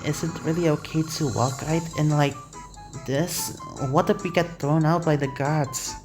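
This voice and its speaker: An actor voicing an anxious young cookie adventurer, hesitant and earnest, in an animated fantasy fandub. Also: medium pitch, measured pace, natural voice. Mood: nervous, hushed. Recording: voice over background music.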